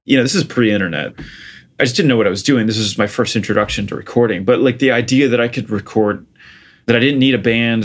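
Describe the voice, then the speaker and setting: A man in his thirties from Portugal with an American accent, a guest chatting casually about his start in music, with many "like" and "you know" fillers. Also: fairly low pitch. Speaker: interview guest, music producer. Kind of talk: casual conversational storytelling.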